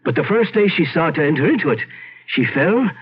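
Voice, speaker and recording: A grave older gentleman tells a dark legend in a vintage radio play. His voice is rough and slightly high, his delivery solemn. The recording is dull and old. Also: lively intonation, a little fast. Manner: solemn storytelling. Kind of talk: old-time radio drama acting.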